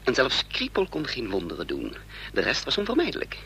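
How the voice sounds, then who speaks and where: An actor playing a troubled man caught up in intrigue, narrating his own story with measured drama in a radio play. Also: medium pitch, wildly swooping pitch, pushed and bright, exaggerated voice. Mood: urgent. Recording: faintly noisy, humming radio play recording.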